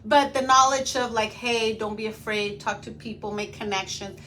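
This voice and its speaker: A woman who grew up in Venezuela tells her story as a podcast guest in an earnest, conversational way. Her voice is bright and crisp and sits fairly high. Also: fairly even intonation, moderate pace, a little hiss or hum. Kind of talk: casual interview storytelling.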